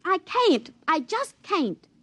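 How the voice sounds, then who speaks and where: A woman's voice: very high voice, very animated, takes it slowly, fairly bright tone, no room sound, heavily acted. An actress playing a naive, superstitious young backwoods woman in a 1950s radio drama, with earnest, folksy rural American hillbilly speech. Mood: reluctant, pleading. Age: young adult. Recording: muffled vintage radio recording.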